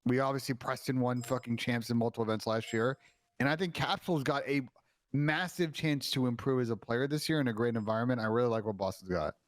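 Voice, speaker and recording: A man's voice: mid-range voice, quick pace, no room sound. A young man co-hosting an esports talk show, giving opinionated takes that he hedges with "I think". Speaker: esports analyst co-host. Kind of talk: casual esports chat.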